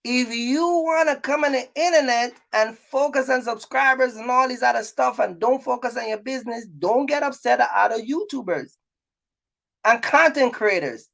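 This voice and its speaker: A man's voice: very high voice, moderate pace, gravelly, fairly bright tone. A middle-aged man talking casually to his viewers in a vlog, emphatic and repetitive, often checking that listeners follow. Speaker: trucking business owner and content creator.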